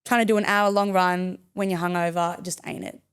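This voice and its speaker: A woman's voice: fairly low pitch, steady tone, a little fast, smooth. Chatty young woman, podcast guest. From born in the United States. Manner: chatty and upbeat, laughs often. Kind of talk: casual interview chat. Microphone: studio podcast mic.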